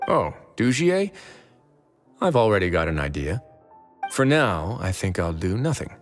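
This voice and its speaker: A man playing a composed, wry duke in an anime video game, speaking in a measured, polite and dryly teasing way. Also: on the low side, big pitch swings. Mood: calmly menacing. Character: composed, wry, dutiful duke running a prison fortress. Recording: music behind the voice.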